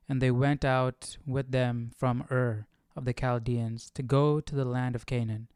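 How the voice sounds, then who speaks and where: Slow, smooth-voiced man musing aloud. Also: medium pitch, no room sound, clean studio sound. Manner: questioning, musing to himself. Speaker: host of a live Bible study. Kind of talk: casual reading and thinking aloud.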